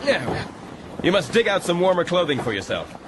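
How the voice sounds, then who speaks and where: An actor playing an earnest, considerate gentleman castaway in a radio drama, with a mild, hesitant, courteous manner. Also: high voice, big pitch swings, normal speed. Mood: practical. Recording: noisy boat and water ambience behind.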